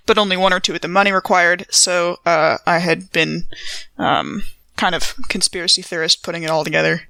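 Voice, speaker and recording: A woman's voice: mid-range voice, bright, forceful tone, smooth, no room sound. A woman playing a tabletop game, improvising in a casual, wry, conversational style. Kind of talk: casual improvised role-play and recap.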